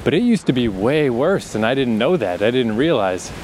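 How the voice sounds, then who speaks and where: A man's voice: medium pitch, very animated, normal speed, noisy background. A man in his thirties with a slight Atlantic Canadian accent, hosting a podcast as a rambling, candid monologue outdoors, swearing freely and laughing often. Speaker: podcast host. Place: outdoors in a breezy urban area.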